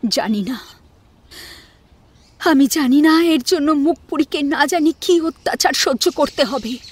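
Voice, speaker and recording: Woman playing an anxious, high-voiced mother-in-law. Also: unhurried, no echo. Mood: anxious reproach. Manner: anxious, reproachful. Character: troubled, mild mother-in-law, dismayed matriarch. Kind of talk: Bengali audio story acting.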